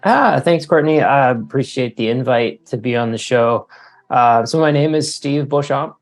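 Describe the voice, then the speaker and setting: A man's voice: medium pitch, normal speed, soft background music. A middle-aged man giving reflective, somewhat hesitant answers as an author and leadership coach being interviewed.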